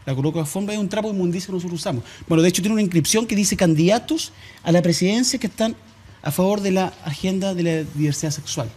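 Fast, husky Chilean pastor. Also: male voice, high-pitched, darkish tone. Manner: insistent, defiant. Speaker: evangelical pastor, TV guest. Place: TV studio.

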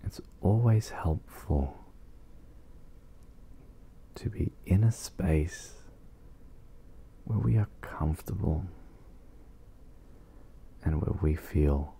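Male meditation guide for ASMR, extremely low with gravelly fry, soft and soothing, leaving long pauses. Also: slight background noise.

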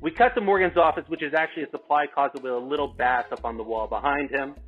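A man's voice: fairly high pitch, quick pace, breathy edge. A man reading stage directions as narrator of a script table read. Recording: tinny, phone-like call audio with music.

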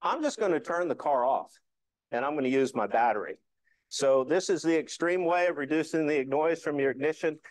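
A man's voice: a little high, a little fast, no echo, rough recording. A man presenting an informal slide talk to a hobby club, explaining things in a folksy, joking, hands-on way. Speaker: amateur radio club presenter.

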